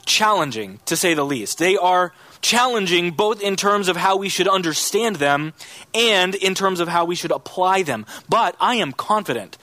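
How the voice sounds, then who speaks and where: Bright-voiced pastor preaching a sermon. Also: male voice, high-pitched, no room sound. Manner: earnest, measured preaching with emphatic rises. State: has a cough. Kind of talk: sermon with scripture reading and prayer.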